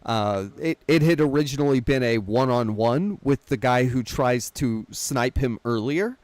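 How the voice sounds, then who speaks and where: A man's voice: mid-range voice, expressive, measured pace, a little mellow, dry recording. A man hosting a casual film discussion, talking through plots conversationally with wry, self-deprecating asides. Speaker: podcast host.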